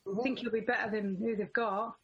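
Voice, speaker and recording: A woman's voice: fairly high pitch, steady tone, a little fast, highs cut off. Wry, joking woman guest. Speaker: actress guest. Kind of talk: casual radio chat.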